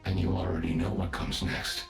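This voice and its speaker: An actor playing a captive villain commander, smug, menacing and condescending, who taunts slowly and formally in a video game. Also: male voice, little pitch movement, reverberant, soft background music. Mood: cold defiance. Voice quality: deep gravelly.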